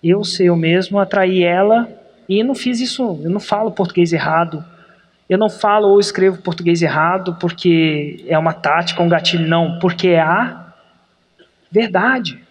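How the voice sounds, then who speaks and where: A middle-aged man from Brazil hosting a podcast, teaching in an energetic, emphatic way, slowing down hard to stress points. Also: high-pitched. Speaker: podcast host. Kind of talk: animated business coaching talk.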